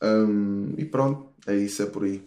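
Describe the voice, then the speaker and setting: A man's voice: lowish voice, normal speed, dark tone, roomy sound, slight background noise. A thirty-year-old man with a European Portuguese accent, a musician talking casually and at length in an interview, with many restarts and fillers. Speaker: musician guest.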